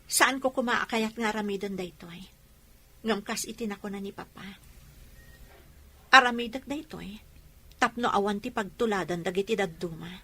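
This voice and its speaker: A woman's voice: medium pitch, normal speed, pauses often, a bit bright, breathy edge. A woman with an Ilocano accent playing a bitter but determined pregnant young wife in an audio drama.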